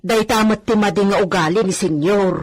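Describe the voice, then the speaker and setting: A woman's voice: medium pitch, normal speed, crowd noise, close to an everyday voice. A woman playing a worried, outspoken hacienda servant in a radio drama, with a fretful, complaining way of talking. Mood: worried, critical. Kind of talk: Ilocano radio drama acting.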